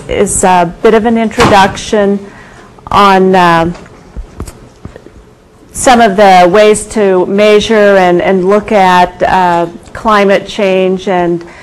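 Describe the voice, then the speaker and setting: A soft-spoken woman giving an informal guest lecture, hesitant, with many fillers and restarts. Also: medium pitch, fairly even intonation, takes it slowly, poor audio. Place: university lecture room.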